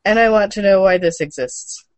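A woman's voice: medium pitch, normal speed, no echo. A woman co-hosting a casual news chat, with an irreverent, joking and sweary way of talking. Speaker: show host.

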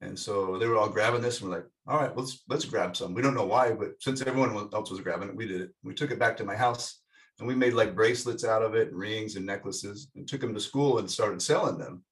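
Gravelly, low-voiced man off the mic. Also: brisk, far from the mic. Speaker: guest. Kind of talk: casual conversation.

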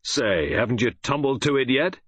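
A man's voice: mid-range voice, expressive, moderate pace, a bit bright, slightly rough, dry recording, pitched above the performer's own voice. A man voicing a cold, gloating American gangster villain in an audiobook, with a menacing drawl. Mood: gloating, grim. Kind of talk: audiobook character voice.

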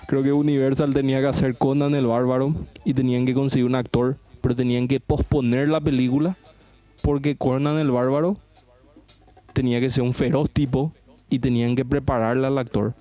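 A man tells a rambling, slangy story on a podcast among friends. His voice is mellow and soft-edged, and he drives the point home by repeating himself. Faint music runs underneath. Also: mid-range voice, no room sound. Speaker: podcast host. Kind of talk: casual chat among friends.

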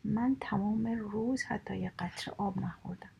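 A woman's voice: medium pitch, steady tone, vocal fry, warm tone, husky, exaggerated voice. A woman whispering as a stubborn, indignant goat. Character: stubborn male goat, plain-spoken.

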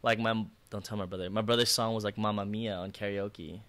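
A man's voice: fairly low pitch, steady tone, brisk, fairly bright tone. A man who grew up in the Philippines and speaks Bisaya as his first language, a worship leader talking earnestly and reflectively in a casual conversation.

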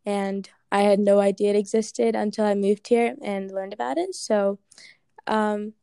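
A woman's voice: medium pitch, steady tone, unhurried, vocal fry, dark tone, smooth, no room sound, clean studio sound. A woman from Ghana with an American accent, answering interview questions casually with many "like" and "um" fillers.

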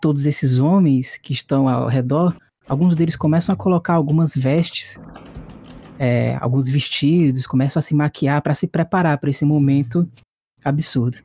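A man narrating as game master of a tabletop horror-comedy game, slow and halting with restarts. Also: a little high, dark tone, no room sound.

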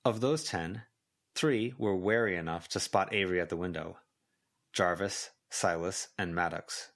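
A man's voice: sits low, a little slow, a bit bright. A man reading scripted fiction as a volunteer audiobook narrator.

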